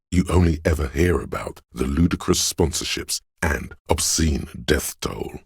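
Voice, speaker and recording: A man voicing a video game's know-it-all inner voice of encyclopedic trivia, erudite and measured, with a gravelly, husky, very deep delivery. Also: no room sound, clean studio sound, full character voice. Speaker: video game voice actor. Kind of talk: scripted game narration.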